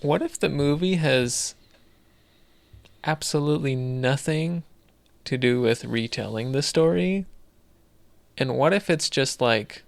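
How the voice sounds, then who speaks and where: A man's voice: medium pitch, vocal fry, no echo. A man co-hosting a podcast in casual chat, thinking aloud in a loose, rambling way. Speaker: podcast co-host.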